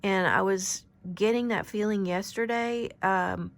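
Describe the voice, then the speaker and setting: A very soft-spoken woman in her fifties, giving an unscripted oracle card reading with gentle, halting delivery and frequent pauses. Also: mid-range voice, steady tone. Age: 50s.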